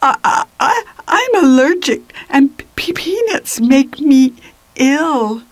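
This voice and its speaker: Woman voicing an anxious high-pitched little girl elephant. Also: big pitch swings, dry recording, exaggerated voice. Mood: anxious, pleading. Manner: anxious, halting, stammering. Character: timid, earnest young girl elephant with allergy.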